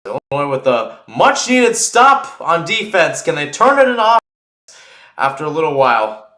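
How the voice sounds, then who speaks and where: A man's voice: a little high, sing-song, normal speed, pushed and bright, reverberant. A man calling live American football play-by-play for radio, chatting through asides and swelling on big plays.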